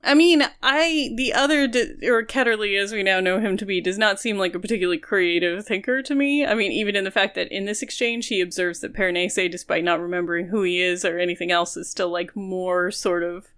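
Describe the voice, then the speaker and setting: A woman's voice: medium pitch, fairly quick, few pauses, a bit bright, clear, resonant tone. A woman with a mid-range voice talks as a podcast co-host in an informal book discussion. She reasons things through aloud, explaining at length and adding qualifications as she goes.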